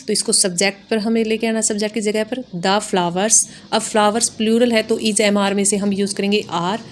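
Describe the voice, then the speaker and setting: An Indian woman gives a lesson in the manner of a grammar teacher. Her voice sits at a middle pitch. She explains each step in an instructional way, repeating key points and adding short tags to check understanding.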